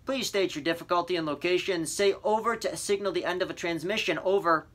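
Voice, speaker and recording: A man's voice: sits high, a little fast, a bit bright, pitched above the performer's own voice. A man reading an audiobook, voicing an adult male radio operator who speaks in clipped, formal radio procedure. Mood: procedural. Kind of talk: audiobook character voice.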